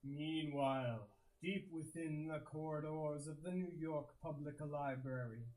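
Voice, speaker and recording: A man's voice: fairly high pitch, fairly even intonation, a little slow, a little mellow, rough recording. A man narrating scripted scenes in a radio drama.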